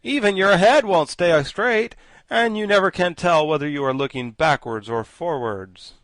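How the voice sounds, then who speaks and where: A man's voice: fairly high pitch, moderate pace, fairly bright tone, no room sound, voice pushed up, lightly acted. A man voicing a rude, sneering male sawhorse. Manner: sneering, gruff.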